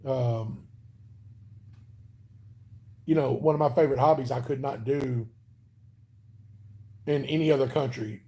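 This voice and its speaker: A man's voice: medium pitch, measured pace, pauses often, mellow. A man hosting a casual live stream, chatting and answering viewers by name in an easygoing way. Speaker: channel host reading live chat.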